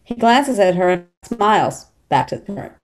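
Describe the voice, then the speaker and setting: A woman's voice: mid-range voice, lively intonation, moderate pace. A woman reading stage directions as scripted narration in a table read.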